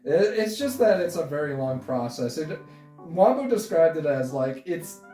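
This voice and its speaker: Casual male guest, twenties, halting and rambling, roomy sound with music underneath. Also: fairly high pitch, very animated, warm tone, recorded from a distance. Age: 20s. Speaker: interview guest, stop-motion creator. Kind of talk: casual chat. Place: indoor room, sharing the frame with host. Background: guitar music.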